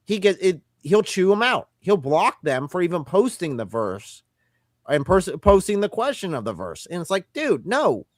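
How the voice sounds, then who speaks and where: A man hosts a livestream and speaks in an earnest, emphatic way. His voice sits a little high and is recorded close and dry. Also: very animated, normal speed, dry recording. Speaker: livestream co-host. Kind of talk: livestream commentary and call-in.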